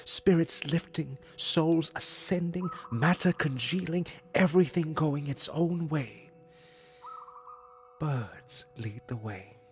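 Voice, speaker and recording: An actor playing a reticent, intense musician in an audio drama, speaking with a husky voice in a halting, poetic, introspective way. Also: male voice, fairly high pitch. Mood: rapt, intense. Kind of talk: naturalistic audio drama dialogue and lyrical monologue.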